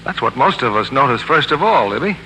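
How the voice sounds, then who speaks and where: A man delivering a scripted 1940s radio advertising pitch, polished and salesmanlike. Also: very animated, brisk, pushed and bright. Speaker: commercial announcer. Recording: noisy, humming 1940s radio broadcast.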